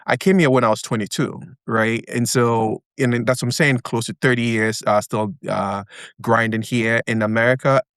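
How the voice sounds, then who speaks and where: A man in his fifties from Ghana talks through an interview in a measured way, stopping often on fillers. His voice is smooth and resonant, and the sound is dry and close. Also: medium pitch, steady tone, fairly bright tone, no room sound. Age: 50s. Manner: measured, many hesitations. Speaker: guest. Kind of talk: interview conversation.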